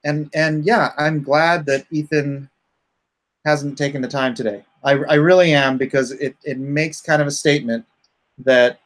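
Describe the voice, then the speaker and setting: Nasal middle-aged man, slightly high voice. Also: fairly even intonation, unhurried. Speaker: host, comic artist. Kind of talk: casual livestream chat.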